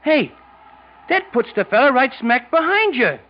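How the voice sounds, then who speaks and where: An actor playing an excitable, suggestible American man in the street, slangy and eager, in 1940s radio drama style. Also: very high-pitched, a little slow, heavily acted. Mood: puzzled, eager. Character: excitable, folksy, suggestible male street witness. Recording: dull, hissy vintage radio recording.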